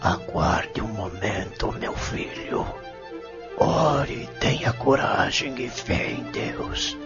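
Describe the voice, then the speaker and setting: A man plays a benevolent luminous spirit in an audio drama, fatherly and solemn. The voice is unhurried and creaky, trailing into breath. Music plays clearly behind it. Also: lowish voice, very animated, full character voice. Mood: solemn, encouraging. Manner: solemn, reassuring, breathy at phrase ends. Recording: dull lo-fi audio drama recording.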